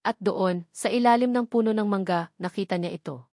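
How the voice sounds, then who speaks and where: A woman's voice: medium pitch, brisk, mellow, no echo, studio quality. A woman narrating a scripted romantic drama story in a dramatic storytelling style.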